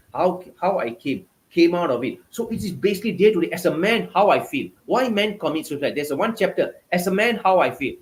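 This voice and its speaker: A man's voice: high voice, low-quality recording. A man with a Malaysian accent, a guest author giving passionate, emphatic interview answers over a video call. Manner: passionate, emphatic, repetitive phrasing.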